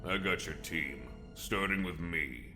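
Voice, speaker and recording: An actor playing a menacing pirate thug who drawls out threats in an audio comic dub. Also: male voice, deep voice, unhurried, bright, forceful tone, faint music behind, exaggerated voice. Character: menacing, slow-talking male pirate thug.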